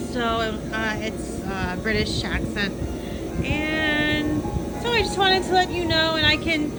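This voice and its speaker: A woman's voice: sits high, low-quality recording. A woman in her late thirties from Florida, talking about herself in a long, meandering monologue that wanders from point to point. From Florida, USA. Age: 30s. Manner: meandering, with many fillers and restarts. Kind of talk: rambling personal monologue. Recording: loud music behind the voice.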